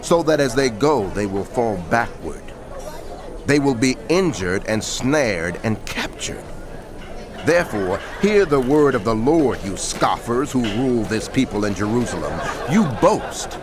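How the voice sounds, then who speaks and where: A man's voice: mid-range voice, sing-song, measured pace, crowd noise. A man narrating scripture dramatically, in a declamatory and emphatic manner.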